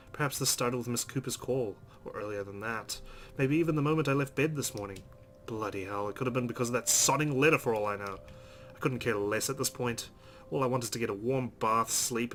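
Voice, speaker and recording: A man's voice: mid-range voice, a bit bright, slight background noise. A man narrating a visual novel aloud as a let's player, reading it dramatically with brief asides.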